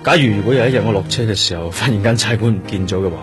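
Actor as earnest, tired Hong Kong detective. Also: male voice, on the low side, racing, music in the background, natural voice. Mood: reflective. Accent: Hong Kong Cantonese. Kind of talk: radio drama acting.

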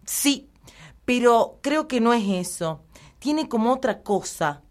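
A woman playing a puzzled, hesitant woman in a deadpan absurd radio comedy sketch. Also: fairly high pitch, slow. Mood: puzzled, nostalgic. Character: puzzled woman fussing over odd-tasting tap water.

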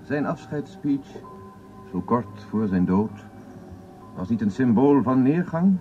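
An actor in a radio drama playing a grave male presenter reading a measured, scripted eulogy. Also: medium pitch, animated. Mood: solemn. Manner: solemn, measured. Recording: dull radio broadcast sound over music.

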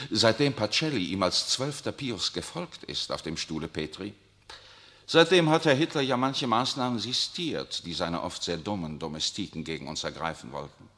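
A worldly papal nuncio in a 1960s radio drama. His low voice moves a lot and rings bright. He speaks in a suave, ironic, lecturing way. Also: full character voice. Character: urbane worldly male papal nuncio, middle-aged. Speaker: actor in a radio play.

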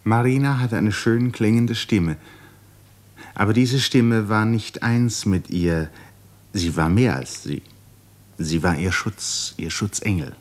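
A male narrator with a very low voice reads scripted literary prose. He tells the story of a radio play. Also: takes it slowly.

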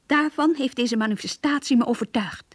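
An idealistic woman in a radio drama, telling of events with solemn conviction. The voice is fairly high with a husky edge. Her phrasing is literary and declamatory. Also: a little fast, dry recording. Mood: stirred conviction. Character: fervent, idealistic anti-fascist woman recounting a rally. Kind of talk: radio play dramatic monologue.

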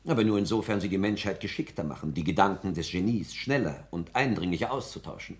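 Radio-drama role: pompous literary intellectual, low voice, lofty and declamatory, ardent. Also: male voice, expressive, fast. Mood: condescending. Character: pompous, ardent male intellectual aesthete and would-be seducer. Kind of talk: 1960s German radio play acting.

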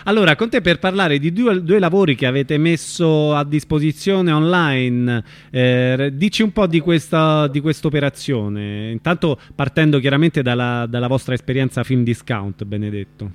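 A man hosting a radio interview in an informal, somewhat halting way. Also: a little high, no echo.

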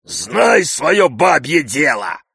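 A man voicing a gruff, stubborn servant in a literary audiobook, hoarse, scornful and barking. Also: mid-range voice, lively intonation, very slow, pushed and bright, dry recording, studio quality, voice pushed up, heavily acted. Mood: surly.

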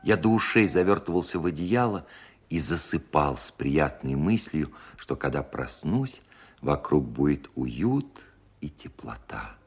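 A man playing a composer who recalls his childhood with gentle humour as narrator of a radio play. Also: a bass voice, very animated, measured pace, exaggerated voice. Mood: cosy, dreamy. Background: music.

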